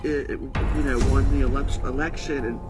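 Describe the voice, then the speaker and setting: A man telling a personal story in a casual, halting way, quoting others as he goes. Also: mid-range voice, steady tone. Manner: halting, acts out quotes. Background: music.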